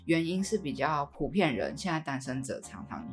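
Young woman, Taiwanese Mandarin accent, low register, chatty podcast co-host, light music behind. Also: sing-song, normal speed. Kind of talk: casual podcast chat.

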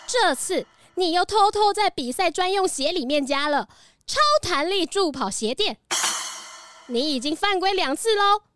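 Very high-voiced scolding cartoon antelope. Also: female voice, fairly bright tone, no echo, heavily acted. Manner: scolding, exasperated. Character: scolding antelope sports official, strict and disapproving. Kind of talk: children's audio drama.

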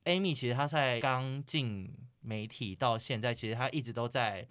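A man's voice: mid-range voice, moderate pace, fairly bright tone, dry recording. A man with a Taiwanese Mandarin accent, hosting a casual chat in a playful, teasing manner. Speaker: podcast host.

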